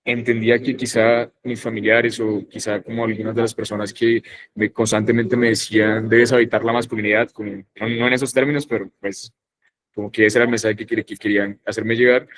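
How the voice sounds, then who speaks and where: A young man from northern Colombia with a Colombian accent, speaking reflectively and haltingly on a discussion panel, with many pauses and restarts. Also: fairly low pitch, flat delivery, fairly bright tone, rough recording. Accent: Colombian Spanish.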